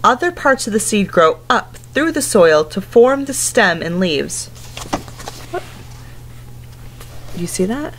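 A woman in her thirties reads to small children in a teacher's manner, at a medium pitch. She keeps her phrasing simple and mixes the book's text with questions and asides to her listeners. It is recorded at home in a living room. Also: big pitch swings, slow, pauses often, slight background noise. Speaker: teacher reading aloud to children. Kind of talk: picture-book read-aloud with asides and questions to young listeners.

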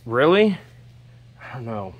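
Casual American male vlog host, forties, jokey short asides, clearly noisy handheld sound in a storage unit. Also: mid-range voice, sing-song, slow pace, highs cut off. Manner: joking, talks in short asides. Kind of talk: casual chat while sorting through finds. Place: inside a metal storage unit.

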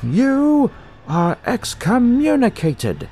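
A man's voice: extremely high pitch, huge pitch swings, drawn-out words, dark tone, soft background music, voice pushed up, full character voice. A man voicing a tyrannical young cult leader with a pompous, commanding manner in an audio story. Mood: furious decree.

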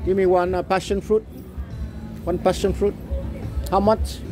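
Man vlogging outdoors over loud music. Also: high-pitched, little pitch movement, a little slow. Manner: clipped, simple phrasing. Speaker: travel vlogger. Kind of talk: casual walk-along vlog narration. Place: outdoors on a busy night street market. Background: loud music, passing vehicles.

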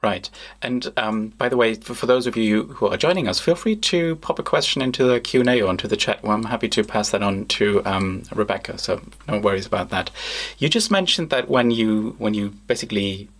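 A man's voice: fairly low pitch, animated, a little fast, a bit bright. A man hosting a live podcast interview, asking long, rambling questions full of fillers. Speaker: podcast host.

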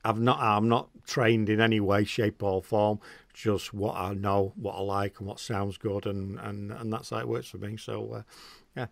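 A man's voice: fairly low pitch, steady tone, normal speed, gravelly, no echo. A middle-aged man in his forties hosting an online radio show from a garden cabin studio, chatty and jokey, talking to a guest over a headset mic. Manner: chatty, jokey, says mate a lot. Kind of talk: casual interview chat. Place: small home studio cabin. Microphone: headset with desk mic, video call.

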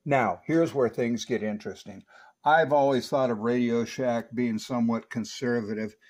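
A man's voice: mid-range voice, normal speed, darkish tone. Methodical man explaining electronics. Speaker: presenter of radio equipment reviews. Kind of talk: hands-on technical review and demonstration.

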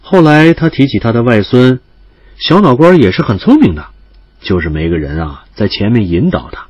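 An actor playing a composed young doctor in an audio drama, gentle and reserved, speaking with calm understatement. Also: male voice, mid-range voice, moderate pace, slightly rough, no room sound, lo-fi sound. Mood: calm, tender.

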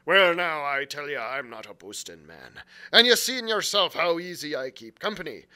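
Man doing a sly, menacing old pirate quartermaster for a storybook reading, loud and bright with a swooping voice. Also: on the high side, big pitch swings, moderate pace, full character voice. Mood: boastful. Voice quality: loud, rolling pirate speech. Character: cunning old male pirate quartermaster, smooth-talking and menacing.

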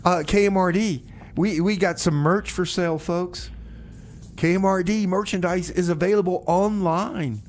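A man's voice: fairly high pitch, slight background noise. A man hosting a community radio show in a studio, talking casually and hesitantly, with frequent restarts. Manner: rambling, hesitant, full of restarts. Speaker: radio host.

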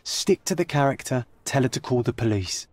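A man's voice: mid-range voice, lively intonation, brisk, darkish tone, no echo, lightly acted. Man playing a flippant, protective companion, dry sound. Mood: protective, urging. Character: detective's young male companion, flippant yet protective. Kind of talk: video game cutscene acting.

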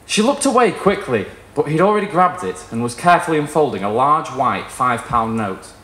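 A young man giving an expressive, theatrical live reading of literary fiction. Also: medium pitch, a little fast. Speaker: story reader. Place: small indoor performance room with wooden wall. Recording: roomy live venue sound.

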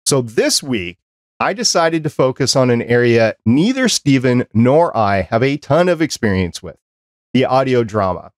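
A man's voice: mid-range voice, big pitch swings, moderate pace, dry recording. A man in his fifties hosting a conversational podcast interview into a close desk microphone. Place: small home office, indoors. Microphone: close desk microphone on a video call.

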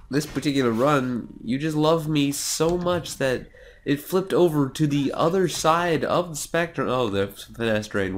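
A young man with a cold, nasal and sniffly, giving casual, sarcastic let's play commentary and swearing now and then. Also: mid-range voice. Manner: sarcastic, self-mocking, swears.

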